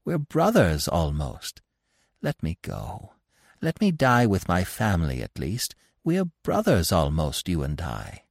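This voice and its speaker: A man voicing a wounded male fox in a storybook, hissing and growling with drawn-out, emotional words. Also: low-pitched, wildly swooping pitch, vocal fry, no room sound, studio quality, exaggerated voice. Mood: weak, pleading. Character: wounded male fox, weak pleading turned bitter contempt.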